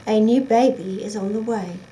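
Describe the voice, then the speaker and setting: A woman reading a children's story aloud as a scripted narration, in an expressive, dramatic storytelling manner. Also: mid-range voice, moderate pace, slight background noise, rough recording. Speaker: storybook reader.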